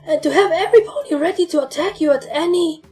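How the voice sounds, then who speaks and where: A woman's voice: very high-pitched, quick pace, warm tone, faint music behind, voice pushed up. A woman voicing a blunt, indignant young female pegasus pony in a fan fiction story. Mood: appalled.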